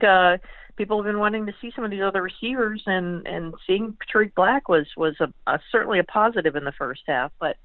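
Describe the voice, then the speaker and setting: Female beat writer, phone-call sound, dry, conversational sports analysis, halting, repeated words. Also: lowish voice, dry recording. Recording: tinny phone line.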